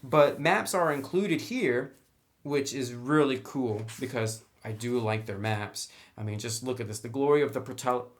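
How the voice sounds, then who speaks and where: A man talks through a book review in a loose, informal style. His voice sits at an average pitch, and he explains each point conversationally, as unscripted commentary. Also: normal speed.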